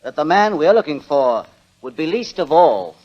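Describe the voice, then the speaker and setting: An actor playing a stern, skeptical coroner in a 1940s radio drama, speaking in an official, clipped and dismissive manner. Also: male voice, a little high, sing-song, moderate pace. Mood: incredulous. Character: stern, skeptical, commanding male coroner at an inquest. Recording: dull lo-fi old radio recording.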